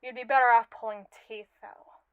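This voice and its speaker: A woman's voice: fairly high pitch, steady tone, moderate pace, clean tone, no echo, phone-quality sound, lightly acted. A woman voicing a haughty, manipulative captive robot in a scripted ASMR roleplay, speaking in a pompous, wordy, theatrically indignant way. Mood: sly, condescending.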